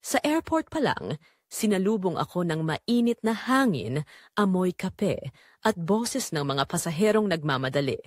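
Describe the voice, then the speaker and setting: A woman's voice: fairly low pitch, very animated, a little slow, no echo, studio quality. A woman with a Filipino accent giving emotive, confessional first-person narration for a scripted audio drama.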